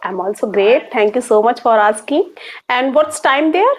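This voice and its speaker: A woman with an Indian English accent hosting a casual interview, halting with many fillers. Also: a little high, lively intonation, slight background noise. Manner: halting, many fillers, non-native phrasing. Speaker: interviewer and channel host. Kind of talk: casual video-call interview.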